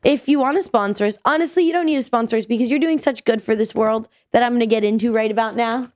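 A woman's voice: a little high, fast, a little mellow, no echo, clean studio sound. A woman co-hosting a casual podcast, chatty and sick with a nasal voice. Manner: chatty, tells stories with acted quotes. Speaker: podcast co-host.

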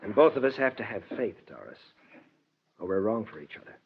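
An actor playing an earnest, idealistic defence lawyer in a 1940s radio drama, speaking in a measured, persuasive way. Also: male voice, on the low side, sing-song, vocal fry, slight background noise. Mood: quiet, sincere. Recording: muffled vintage radio recording, long reverb.

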